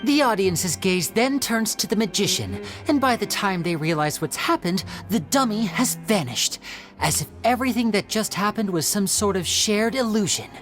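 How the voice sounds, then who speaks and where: A woman playing a poised, self-assured young detective in a video game, explaining things in a measured, theatrical way. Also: medium pitch, lively intonation, soft background music, close to an everyday voice. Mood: measured, grave.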